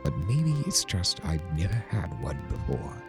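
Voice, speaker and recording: Man playing a deep-voiced, hesitant trickster creature. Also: huge pitch swings, measured pace, exaggerated voice. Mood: apologetic, anxious. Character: male immortal trickster creature, awkward, tender, teasing. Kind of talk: fan fiction audio drama acting. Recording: music behind the voice.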